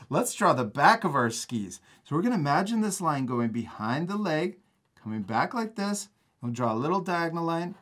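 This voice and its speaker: A man hosting a step-by-step drawing lesson for children in a casual, encouraging manner. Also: a little high. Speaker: art teacher and host.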